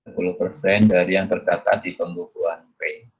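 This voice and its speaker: A man lecturing through a worksheet in a halting way, thinking aloud with questions and frequent check-in tags. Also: low-pitched, level tone. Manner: repeatedly adds "ya" as a check-in tag. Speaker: accounting lecturer. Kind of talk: explanatory screen lecture, working through a worksheet. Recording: dull, muffled lo-fi recording.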